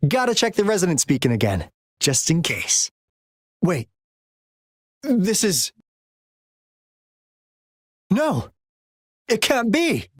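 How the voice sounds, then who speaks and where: Breezy young game adventurer, expressive, swinging pitch, close dry sound. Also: male voice, slow pace, long pauses, husky, no room sound, heavily acted. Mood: alarmed. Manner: theatrical, playful, expressive. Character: breezy young male secret-agent adventurer, playful but sharp. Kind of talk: video game voice acting.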